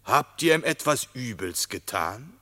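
A man's voice: mid-range voice, very animated, unhurried, pushed and bright, big-room sound, exaggerated voice. An actor voicing a gullible male ram who speaks earnestly and dutifully, in a classic verse radio play.

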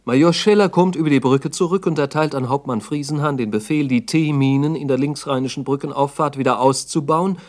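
A man's voice: on the high side, fairly quick, few pauses, a little mellow. A man giving scripted documentary narration in a radio play, sober and matter-of-fact.

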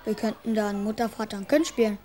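A woman's voice: medium pitch, fairly even intonation, quick pace, mellow, close to an everyday voice. A woman playing an imaginative, secretive and stubborn little schoolboy in a children's radio play, cheeky and musing in manner. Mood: casual.

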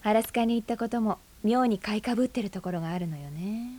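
An actress playing a frank, breezy aunt who teases and speaks bluntly in a radio drama. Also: female voice, mid-range voice, fast talker, dark tone, natural voice. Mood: bantering. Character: blunt, teasing independent aunt.

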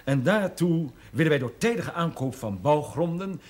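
An actor playing a courteous company administrator in a period television drama, formal, wordy and persuasive. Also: male voice, a little high, animated, normal speed, lightly acted. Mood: earnest, persuasive. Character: courteous, smooth-talking land company administrator on business. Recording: faintly noisy old television soundtrack.

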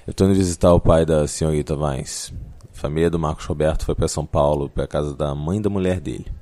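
An actor playing a cynical, world-weary police detective in a noir audio drama, with a blunt, sardonic delivery and a Brazilian accent. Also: male voice, a bass voice, a little fast, mellow, roomy sound. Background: music.

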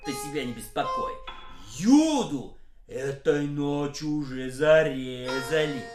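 A solemn female voice, very low and swooping in pitch, recites in a radio drama. It rings in echo with music underneath. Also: expressive, takes it slowly.

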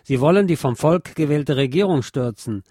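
A man's voice: fairly high pitch, animated, normal speed, mellow, husky, no echo, studio quality. A man reading a scripted historical narration aloud as a narrator.

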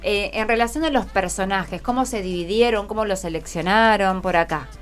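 Woman, Argentine Rioplatense accent, radio interviewer, mid-pitched voice, encouraging, casual, short tag questions, studio mic, faint music underneath. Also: normal speed. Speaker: radio host interviewing schoolchildren. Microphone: close studio microphone.

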